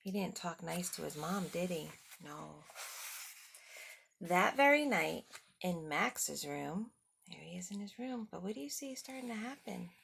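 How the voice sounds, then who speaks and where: A woman's voice: lowish voice, lively intonation, a little slow, gravelly, a bit bright, slight background noise. A woman reading a picture book aloud to young children, talking simply and adding little questions and asides. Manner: simple, child-directed talk with rhetorical questions. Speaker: storyteller for children.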